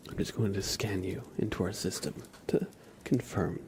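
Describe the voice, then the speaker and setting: A man doing a soft-spoken ASMR roleplay as a streamer, breathy and often whispering, giving gentle, playfully deadpan instructions. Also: fairly low pitch, normal speed.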